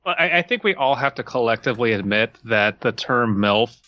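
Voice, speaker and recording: A man's voice: mid-range voice, lively intonation, fairly quick, pushed and bright, highs cut off, a little hiss or hum. A man co-hosting a podcast, riffing in dry, mock-earnest tones. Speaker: podcast co-host. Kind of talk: casual podcast banter.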